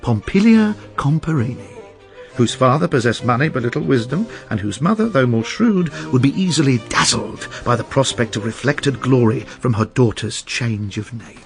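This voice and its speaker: An actor narrating a literary radio drama as a wry, worldly storyteller. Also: male voice, mid-range voice, expressive, normal speed, gravelly, faint music behind.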